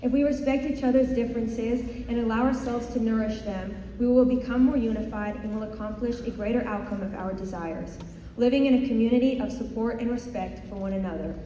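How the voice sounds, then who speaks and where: A woman's voice: fairly high pitch, dark tone, a little hiss or hum. A teenage girl giving a prepared, earnest speech as a student candidate at a school assembly. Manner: earnest, measured. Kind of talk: prepared speech read aloud. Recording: echoey hall, distant mic.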